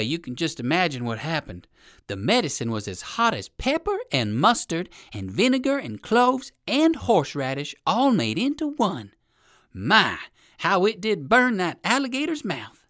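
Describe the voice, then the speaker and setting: A woman narrating a children's story in an expressive, emphatic storybook style, speaking to young listeners. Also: fairly low pitch, no echo, studio quality.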